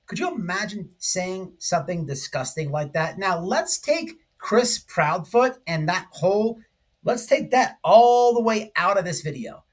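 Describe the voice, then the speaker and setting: A man's voice: sits high, very animated, measured pace. A man hosting informal true crime commentary, speaking emphatically in slangy language and often checking in with the listener. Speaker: YouTube commentary host.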